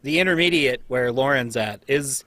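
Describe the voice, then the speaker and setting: A man's voice: medium pitch, normal speed, sharp tone, dry recording. An American man who teaches college, chatting casually in a group conversation.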